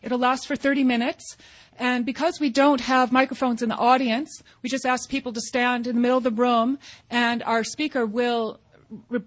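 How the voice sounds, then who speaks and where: A woman's voice: a little high, flat delivery, normal speed. Woman moderating a public forum. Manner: businesslike, with restarts.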